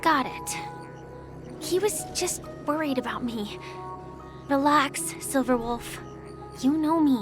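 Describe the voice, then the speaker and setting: A gentle young woman with a very high, sweet pitch. She sounds earnest and polite, a quietly determined game heroine. Music runs underneath. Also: slow, pauses often. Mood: gentle, bright. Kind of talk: video game voice acting. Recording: music behind the voice. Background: background music.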